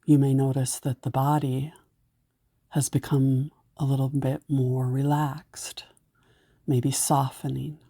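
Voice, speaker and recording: Slow, low-voiced woman guiding meditation. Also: flat delivery, slightly rough, no room sound, studio quality. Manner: gentle, unhurried, soothing instruction. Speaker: meditation guide. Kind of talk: guided meditation narration.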